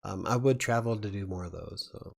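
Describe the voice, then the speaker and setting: A man with a fairly low voice hosts a loose live chat. He rambles, laughs between thoughts and speaks. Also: fairly quick, gravelly. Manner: rambling, chuckling often. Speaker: livestream host.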